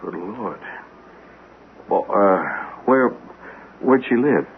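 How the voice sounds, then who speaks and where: Incredulous old buddy, male, halting, swinging pitch, tinny noisy radio drama recording. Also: expressive, full character voice. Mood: skeptical. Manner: halting, incredulous, repeats words. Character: skeptical, excitable husband and old buddy of veteran. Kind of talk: radio mystery drama acting.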